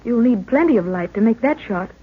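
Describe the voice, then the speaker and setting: A woman's voice: a little high, lively intonation, a little fast, slightly rough. An actress playing a young woman, the professor's anxious daughter, with breathless exclamations in a 1930s radio drama. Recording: dull lo-fi old radio recording.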